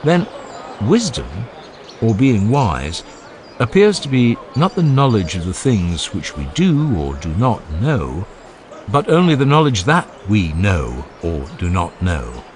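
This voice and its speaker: A man narrating an audiobook of a classical philosophical dialogue in a measured, formal and emphatic way. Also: fairly low pitch, wildly swooping pitch, darkish tone. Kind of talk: dramatized scripted reading of a classical philosophical dialogue.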